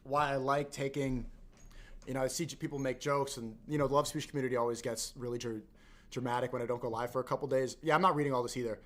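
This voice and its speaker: Man, mid-pitched, blunt slangy streamer, casual reaction commentary. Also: steady tone, fast, a bit bright. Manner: blunt, slangy, says "bro". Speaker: live streamer reacting to clips. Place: Manhattan.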